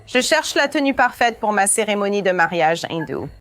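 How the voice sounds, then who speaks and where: A woman's voice: medium pitch, expressive, moderate pace, fairly bright tone, dry recording, close to an everyday voice. A woman voicing a young bride-to-be who wants something original, in a dubbed reality show. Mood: eager. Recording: music playing under the voice.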